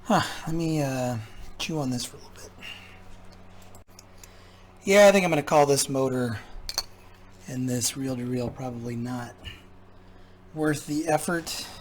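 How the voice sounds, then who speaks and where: A man talking casually and haltingly as he thinks aloud, giving hands-on commentary as a repair vlogger. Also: medium pitch, slight background noise. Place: indoor repair workshop.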